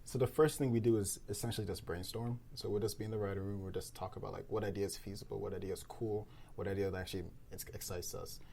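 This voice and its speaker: A podcast co-host with a creaky, mellow voice, talking casually at a round table. The delivery is animated and emphatic, full of slang. A faint room noise sits behind the speech. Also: low voice, fast talker, few pauses. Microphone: podcast microphone.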